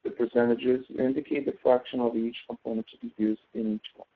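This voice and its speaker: A man's voice: medium pitch, flat delivery, a little fast. A man teaching as a professor in an informal tutoring walkthrough, explaining step by step and often checking in with "okay?". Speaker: professor teaching an online class. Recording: thin phone-like audio.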